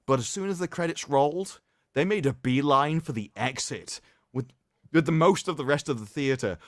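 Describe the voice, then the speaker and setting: A man hosting a livestream, reading aloud in a mocking, theatrical way with sarcastic asides and drawn-out emphasis. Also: fairly high pitch, no echo, studio quality. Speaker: livestream host. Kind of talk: mocking dramatic reading of an article with commentary.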